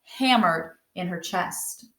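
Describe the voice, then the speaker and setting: A woman's voice: on the low side, takes it slowly, fairly bright tone. A woman reading a children's story aloud, narrating expressively. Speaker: storybook reader. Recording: roomy indoor echo.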